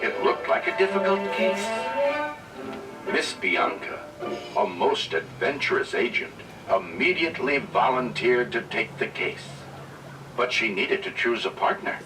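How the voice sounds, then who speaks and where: A man narrating a children's read-along record in a dramatic, deliberate storytelling manner. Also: mid-range voice, very animated. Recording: distant vinyl record played in room, music.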